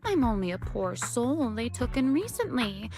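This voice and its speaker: A woman's voice: fairly high pitch, very animated, darkish tone, faint music behind. A woman playing a teasing, secretive, long-lived huntress in a video game, coy and poised. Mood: calm, reminiscing. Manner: coy, playful, unhurried teasing. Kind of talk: video game story voice acting.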